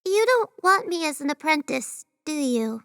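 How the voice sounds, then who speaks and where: A woman's voice: high-pitched, big pitch swings, normal speed, a bit bright, dry recording, clean studio sound, heavily acted. A woman playing a timid, earnest young girl who hopes to become an apprentice, speaking in a hesitant, meek way. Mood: deflated, hurt.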